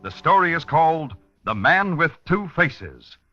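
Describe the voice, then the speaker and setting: An American man introducing a 1950s radio drama as its announcer, portentous and measured. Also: a little high, very animated, sharp tone. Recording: muffled vintage radio broadcast with music.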